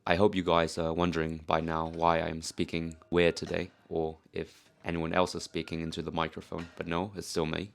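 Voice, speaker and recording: A man's voice: deep voice, monotone, moderate pace, creaky, few pauses. A young Korean man, a native Korean speaker, narrating his lifting vlog in a put-on British-leaning accent, candid and self-mocking with casual swearing. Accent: put-on British-leaning English accent. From South Korea. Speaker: powerlifting vlogger.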